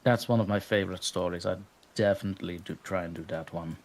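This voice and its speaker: Belgian man, low voice, modest interview guest who laughs easily. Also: quick pace. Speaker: guest narrator. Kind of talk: casual interview chat.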